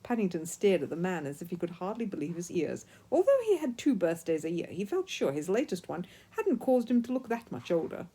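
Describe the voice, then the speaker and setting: A woman's voice: fairly low pitch, big pitch swings, a little fast, hardly any pauses, warm tone, husky. A woman narrating a children's story expressively, as a storyteller reading from a book.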